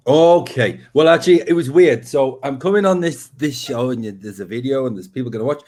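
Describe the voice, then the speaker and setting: A man's voice: medium pitch, brisk, darkish tone. A man in his forties from near Liverpool, speaking with a Liverpool accent, telling his life story in a rambling, candid way on a video call. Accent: Liverpool English. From England, near Liverpool. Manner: rambling, candid, many restarts. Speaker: guest, breath coach. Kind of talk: casual interview storytelling.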